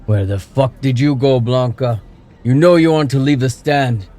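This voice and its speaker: A man's voice: medium pitch, normal speed, warm tone, pitched above the performer's own voice, lightly acted. A man voicing a drunk, abusive father who thunders curses. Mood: furious. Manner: thundering, cursing.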